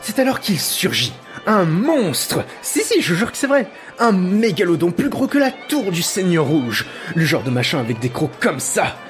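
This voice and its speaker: A man's voice: high-pitched, sing-song, moderate pace, soft background music. A young man playing a cheeky, boastful teenage thief in an audio drama, slangy and dramatic. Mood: boastful, theatrical.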